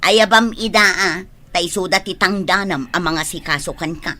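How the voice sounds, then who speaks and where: An actress playing a blunt, practical younger sister with an Ilocano accent, insistent and scolding, in a radio drama. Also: female voice, lowish voice, normal speed, bright, forceful tone, soft background music. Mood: nagging, insistent. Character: blunt, practical, busy sister nagging an ailing older brother.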